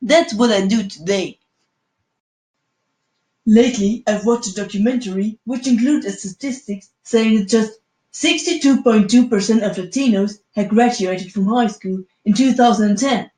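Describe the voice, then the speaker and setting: A woman's voice: mid-range voice, a little mellow, close to an everyday voice. A woman voicing a forty-year-old male immigrant who now works as a manager, telling his own story in an earnest, first-person school presentation. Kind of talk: read-aloud school presentation. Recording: echoey room.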